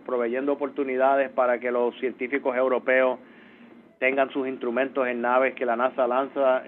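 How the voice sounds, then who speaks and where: Brief: Puerto Rican man, interview guest, halting and full of restarts, heard over a thin phone line. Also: medium pitch. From Puerto Rico. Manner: halting, many restarts and fillers. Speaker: space agency mission coordinator, interview guest.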